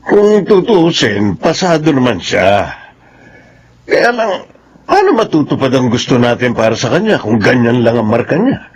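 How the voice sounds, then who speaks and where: A man's voice: medium pitch, big pitch swings, normal speed, slight background noise, natural voice. A man playing a strict middle-aged father in a Filipino radio drama, earnest and self-justifying. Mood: insistent, proud.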